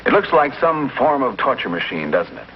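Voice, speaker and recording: A man narrating a 1950s television commercial as announcer, in an emphatic, polished sales-pitch manner. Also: sing-song, a little fast. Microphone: voice-over for filmed commercial. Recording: dull, noisy 1950s broadcast recording.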